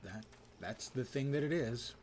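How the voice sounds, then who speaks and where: A man's voice: mid-range voice, expressive, unhurried, a little mellow, a little hiss or hum. A man casually commentating his video game live as a streamer, talking to himself in a wry way.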